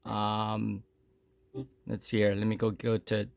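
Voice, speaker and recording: A man giving a casual, unscripted hands-on demo, explaining plainly in short, halting phrases with pauses between them. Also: lowish voice, monotone, creaky, a little mellow, no room sound. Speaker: product demonstrator.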